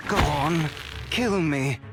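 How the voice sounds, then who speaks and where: A man's voice: on the high side, slow, vocal fry. An actor playing a suave, treacherous man in a video game, mocking and theatrical in manner. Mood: mocking, cruel. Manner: taunting, theatrical. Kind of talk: dramatic video game voice acting. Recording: loud music behind the voice.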